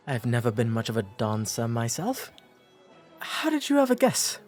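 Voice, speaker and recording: A man with an English accent playing a courteous, shy, bookish 19th century gentleman in a romantic audio drama, speaking formally and gently. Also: medium pitch, wildly swooping pitch, normal speed, soft background music. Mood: wry, amused. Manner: formal, polite old-fashioned diction with hesitant, gentle delivery.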